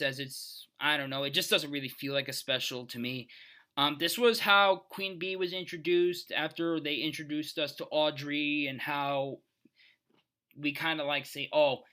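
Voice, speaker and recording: Man, fairly high pitch, very sharp bright tone, casual video host, rambling, emphatic, opinionated, unscripted commentary. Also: clear, resonant tone.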